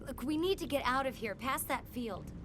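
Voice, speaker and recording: An actress playing an anxious, earnest young research assistant in a video game, hesitant and worried. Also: female voice, high voice, lively intonation, normal speed, very noisy. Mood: uneasy.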